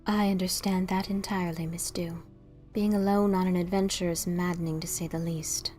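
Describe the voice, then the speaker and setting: A woman playing a calm, wise and mysterious female pony, speaking formally and with gentle, measured probing in an audio drama. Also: lowish voice, soft background music, close to an everyday voice. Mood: understanding. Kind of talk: audio drama dialogue of fan fiction.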